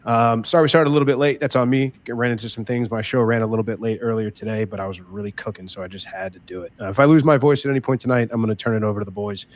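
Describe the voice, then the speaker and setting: A man's voice: lowish voice, fairly even intonation, rapid-fire, hardly any pauses. A man hosting a live panel talk show, chatty and loose, often correcting himself mid-sentence. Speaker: podcast host.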